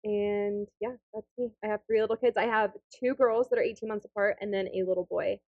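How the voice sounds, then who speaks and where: A woman's voice: medium pitch, fairly even intonation, brisk, dry recording, phone-quality sound. A woman in her late twenties co-hosting a casual podcast, upbeat and gushing. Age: 20s. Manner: upbeat, gushing, warm compliments. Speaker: podcast co-host. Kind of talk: casual chat.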